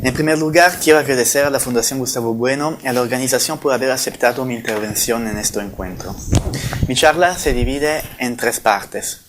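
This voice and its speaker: A man with an Italian accent delivers a formal conference lecture, reading carefully from his notes. His pitch sits in the middle range, and his measured pace is broken now and then by small self-corrections. There is a faint hiss of noise behind him. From Italy. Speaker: conference speaker.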